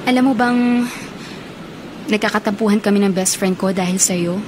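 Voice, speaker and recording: An actress playing an earnest, soft-spoken young college woman in a radio drama, troubled over a suitor. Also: mid-range voice, moderate pace, background noise, natural voice. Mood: downcast. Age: young adult.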